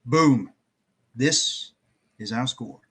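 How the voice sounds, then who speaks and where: A man playing a brisk, confident heist planner in a screenplay table read, matter-of-fact and decisive. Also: medium pitch, noisy background. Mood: businesslike.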